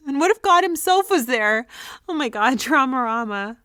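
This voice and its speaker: A woman playing an excitable, self-important teenage girl who rambles dramatically through a confessional audio diary. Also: high voice, unhurried, no room sound, studio quality. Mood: giddy, excited. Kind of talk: confessional audio diary monologue, naturalistic acting.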